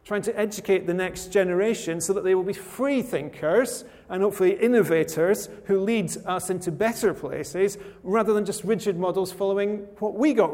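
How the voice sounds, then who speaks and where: A man from rural Scotland gives a sermon in a high voice, easygoing and conversational, with gentle humor. His voice rings out with a long reverb in a large hall. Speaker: preacher.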